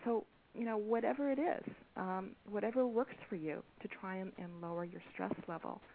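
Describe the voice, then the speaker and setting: A soft-spoken woman, an author, being interviewed over the phone, speaking hesitantly with many pauses and ums. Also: medium pitch, creaky. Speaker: author and guest. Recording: tinny phone line with faint hiss.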